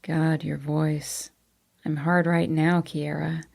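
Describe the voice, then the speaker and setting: Woman doing a young man, murmuring, hushed, gravelly, slow. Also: sits low, flat delivery, voice pushed down, heavily acted. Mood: intimate. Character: young adult man, tender and low-voiced.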